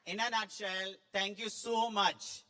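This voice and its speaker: A man's voice: high-pitched, little pitch movement, takes it slowly, pushed and bright. A man giving an effusive, emphatic impromptu speech as a judge at a school play event. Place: large school hall. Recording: echoing hall with long reverb.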